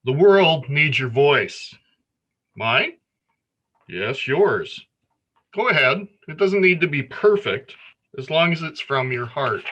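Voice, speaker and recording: Bright-voiced man reading a children's story. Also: on the high side, slow pace, long pauses, lo-fi sound. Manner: expressive storytelling. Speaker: story reader. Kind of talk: reading a children's picture book aloud.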